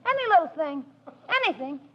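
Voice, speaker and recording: Eager young boy, very high lively voice, old radio broadcast. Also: fairly quick, dull audio. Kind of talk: 1940s radio comedy acting.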